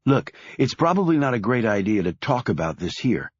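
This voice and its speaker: A man voicing a wary, sharp-tongued woman journalist in an audiobook. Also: mid-range voice, big pitch swings, brisk, husky, no room sound, dull audio. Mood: urging.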